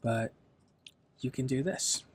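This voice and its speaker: Man, mid-pitched voice, casual tutorial host, informal, loose explanatory delivery. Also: slow, heavy background noise.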